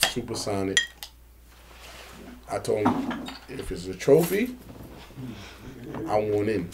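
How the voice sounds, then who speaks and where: A man's voice: on the low side, background noise. A man from Bushwick who is a reggae MC, talking casually in an interview with a boastful, streetwise manner that repeats his points. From Bushwick, New York. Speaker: reggae MC for a sound system.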